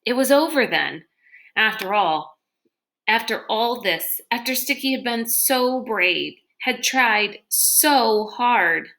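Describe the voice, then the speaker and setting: A woman reading a story aloud in an expressive narrating style. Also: fairly high pitch, takes it slowly. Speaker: storybook reader.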